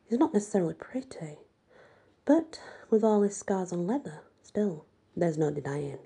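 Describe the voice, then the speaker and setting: A woman's voice: fairly low pitch, sing-song, moderate pace, creaky, dark tone, breathy edge, exaggerated voice. A woman voicing a sardonic, defensive teenage fairy-tale heroine in an audiobook, with a wry, evasive manner. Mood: dreamy.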